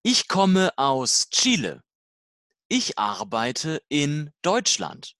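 Language-course dialogue reader: very slow, deliberate delivery, lively pitch movement. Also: dry recording, clean studio sound. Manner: slow, deliberate, simple learner-level sentences.

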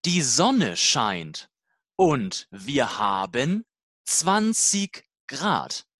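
Very slow, deliberate language-lesson voice. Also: medium pitch, sing-song, a bit bright, no echo, studio quality. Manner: slow, deliberate, simple learner-level sentences. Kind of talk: scripted slow language-learning dialogue.

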